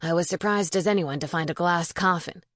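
A woman voicing a gruff, scowling, lazy male sheriff in an audiobook, curt and irritable. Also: lowish voice, brisk, fairly bright tone, no room sound, studio quality, lightly acted. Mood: defensive.

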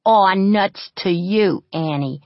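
A woman voicing a bossy, rough fourteen-year-old girl bully who snaps and shouts, in a dull lo-fi storybook recording. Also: medium pitch, slow pace, dry recording, pitched above the performer's own voice. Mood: sulky. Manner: snappy, loud, rough.

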